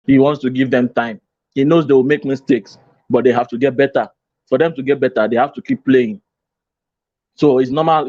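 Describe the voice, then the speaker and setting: A man at a middling pitch talks through a football match with calm, step-by-step reasoning and hammers home his main points through repetition. His voice comes through slightly dull and lo-fi, close and dry with no room sound. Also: no room sound. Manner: measured, explanatory, repeats points for emphasis. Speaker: football pundit. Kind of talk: studio football discussion.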